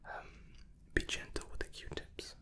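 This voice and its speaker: A man gently whispering an ASMR medical roleplay as a reassuring, unhurried doctor. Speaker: ASMR roleplay host playing an examining doctor.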